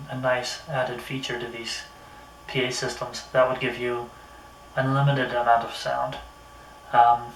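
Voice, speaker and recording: A man talks through a casual hands-on review in a middling pitch. His delivery is halting, as if thinking aloud. He sounds set back from the mic in a roomy, echoey space. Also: a bit bright. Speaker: product reviewer. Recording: roomy echo, distant mic, faint noise.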